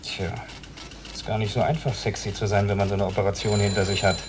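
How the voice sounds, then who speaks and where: A man's voice: lowish voice, flat delivery, fast, noisy background, close to an everyday voice. A man playing a crude, leering, callous homicide lieutenant in a radio play, talking in a sleazy, taunting way.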